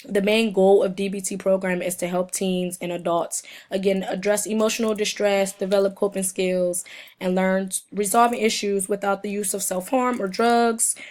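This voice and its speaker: Hoarse young woman giving a presentation. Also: medium pitch, flat delivery, darkish tone. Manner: reads from prepared notes, halting in places. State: hoarse, sniffling from a cold. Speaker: student presenter. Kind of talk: recorded class presentation.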